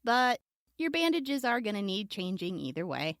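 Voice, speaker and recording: A woman's voice: medium pitch, very animated, normal speed, a bit bright, dry recording, clean studio sound. A woman playing a blunt, sardonic wasteland medic in an audio drama, with an irreverent manner. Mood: matter-of-fact.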